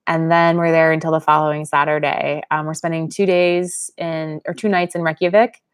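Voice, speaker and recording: A woman's voice: low voice, little pitch movement, normal speed, clear, resonant tone. A young woman from Pennsylvania hosting a live-stream Q&A, chatting casually and reading viewer comments aloud. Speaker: channel host.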